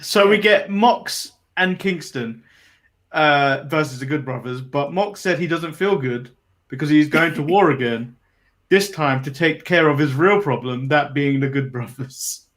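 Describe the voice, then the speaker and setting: Slightly high male co-host recapping matches. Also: a little slow, fairly bright tone. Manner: recaps from notes, hesitant fillers, laughs. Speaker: podcast co-host. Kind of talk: casual wrestling review chat.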